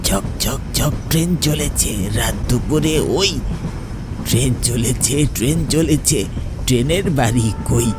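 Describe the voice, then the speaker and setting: Man playing an eerie old man, horror cartoon, slow drawl, cryptic and ominous, loud train rumble behind. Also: natural voice. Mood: chanting. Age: older. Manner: slow, cryptic, ominous, sing-song when reciting.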